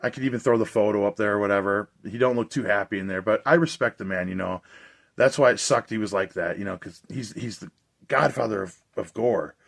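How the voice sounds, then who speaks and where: Slightly hoarse chatty guy. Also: on the low side, fairly quick. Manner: chatty, rambling, enthusiastic. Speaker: movie collector and reviewer. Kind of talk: casual video review.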